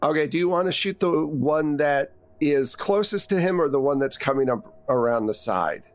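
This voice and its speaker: A man's voice: on the high side, expressive, moderate pace. A man speaking as game master, narrating action to players in a conversational way with casual asides.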